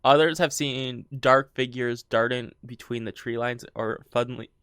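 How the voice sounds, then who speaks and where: A man's voice: medium pitch, steady tone, normal speed, fairly bright tone, smooth, no echo, clean studio sound. A man co-hosting a casual podcast, reading out an account, stumbling over words and laughing often. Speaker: podcast co-host. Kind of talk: casual chat, reading a story.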